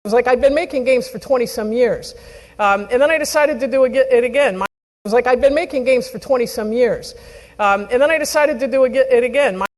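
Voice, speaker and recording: Woman, animated, loud, higher pitch, long reverb. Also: a little fast.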